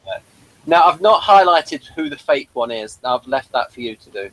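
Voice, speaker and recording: A man's voice: fairly high pitch, animated, moderate pace, fairly bright tone, no room sound, low-quality recording. A man hosting a casual review chat, hesitant and stopping often to restart. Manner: hesitant, many restarts and fillers. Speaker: podcast host.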